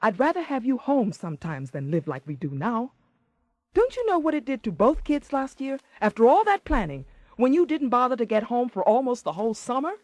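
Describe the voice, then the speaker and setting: A woman's voice: fairly high pitch, very animated, a little fast, no echo. An actress playing a hurt, assertive wife confronting her husband in a radio drama, pointed and moving between sarcasm and earnestness. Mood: hurt, insistent. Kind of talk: 1980s radio drama acting.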